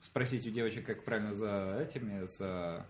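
A man with a light Russian accent, explaining slowly with many fillers and pauses in a casual chat. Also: fairly low pitch, a crowd in the background.

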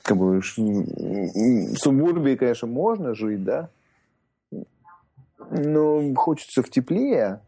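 A man's voice: mid-range voice, sing-song, slow, mellow, slightly rough, poor audio. A man co-hosting a casual podcast chat, rambling with lots of filler words. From Russian-speaking immigrant in North America. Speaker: podcast co-host.

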